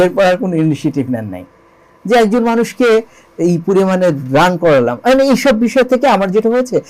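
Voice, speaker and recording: A man's voice: high-pitched, darkish tone, poor audio. A man with a Bangladeshi accent speaking as an interview guest, telling his experiences earnestly with emphatic repetition, rhetorical questions and English loanwords. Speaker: interview guest, songwriter and composer.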